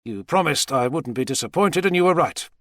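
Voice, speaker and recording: A man's voice: on the high side, expressive, fairly quick, fairly bright tone, dry recording, clean studio sound, voice pushed up. A man voicing a brash, megalomaniac president with a boastful, folksy manner in an audiobook thriller. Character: American president, brash, heavy-set, megalomaniac schemer.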